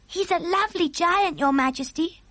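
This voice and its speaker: A little storybook girl, voiced by a woman, very high in pitch. She is polite and earnest, with childlike simplicity, in a close, dry sound. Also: normal speed, fairly bright tone, no echo. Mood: earnest, reassuring. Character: Sophie, small girl, polite, calm and earnest.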